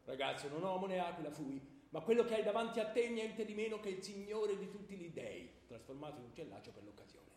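A man's voice: high-pitched, big pitch swings, brisk, hardly any pauses, darkish tone. A man playing an amorous, lordly king of the gods, grandly coaxing a boy in a comic radio theatre dialogue. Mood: wheedling. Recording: roomy stage sound, faint hiss.

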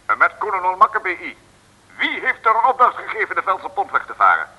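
An actor playing an irascible, commanding army colonel who barks impatiently in an old radio serial. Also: male voice, high voice, wildly swooping pitch, brisk, bright, forceful tone, breathy edge, muffled recording, heavily acted. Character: irascible, blustering male army colonel giving orders. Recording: old radio recording, long reverb.

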